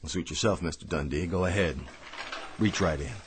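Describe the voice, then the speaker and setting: Actor as deep-voiced, wry department-store Santa. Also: male voice, background noise, close to an everyday voice. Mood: wry, amused. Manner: halting, wry, politely needling. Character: down-on-his-luck department-store Santa, wistful, wry, gentle old rascal. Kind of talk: radio drama acting. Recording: music bed behind dialogue.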